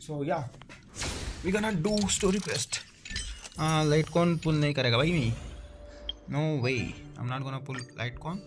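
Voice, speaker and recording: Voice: medium pitch, lively intonation, moderate pace, pauses often. A livestreamer with an Indian accent chatting casually and informally over a game, switching between languages. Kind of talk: casual gaming stream chat. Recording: music playing behind the voice. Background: game music.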